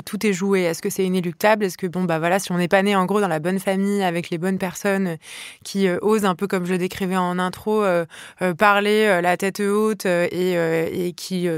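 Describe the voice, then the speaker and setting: A woman's voice: lowish voice, no echo, studio quality. Woman host asking probing questions. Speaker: podcast host. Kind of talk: interview questions.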